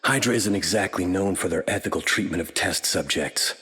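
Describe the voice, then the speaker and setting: A battle-worn soldier with a metal arm, trading sardonic one-liners. His voice sits very low. The game audio carries a long reverb. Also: brisk, sharp tone, faint music behind. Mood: dry. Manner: dry, sardonic quips. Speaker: video game hero.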